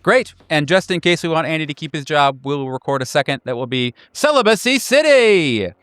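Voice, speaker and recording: A man running a horror tabletop game, narrating atmospherically in a measured, deliberate way. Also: on the high side, expressive, a bit bright, dry recording.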